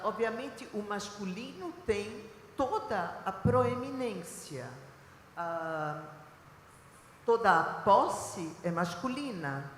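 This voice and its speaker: A woman from Recife with a Recife accent, speaking on a panel in a deliberate, lecturing way with frequent filler pauses. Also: mid-range voice, a little hiss or hum. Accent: Recife, Brazilian Portuguese. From Recife, Brazil. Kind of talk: panel talk. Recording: echoey hall recording.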